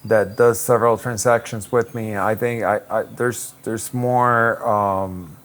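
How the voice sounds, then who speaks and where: A man, a real estate broker answering interview questions in a relaxed, reflective way with many restarts. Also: on the low side, slow pace. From Puerto Rico. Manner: easygoing, reflective, frequent restarts and "um".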